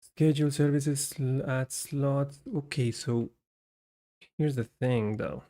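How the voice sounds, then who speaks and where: Man from Costa Rica, live coding host, slow, even-pitched delivery, narrating his steps aloud. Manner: talks through code as he works, chatty with viewers.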